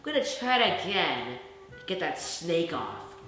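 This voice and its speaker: A woman's voice: fairly low pitch, very animated, a little slow, fairly bright tone. A woman voicing a bossy, self-assured, bumbling handyman in a storybook reading, with emphatic delivery. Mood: confident. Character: bossy, blundering adult male handyman. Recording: very echoey room, music underneath.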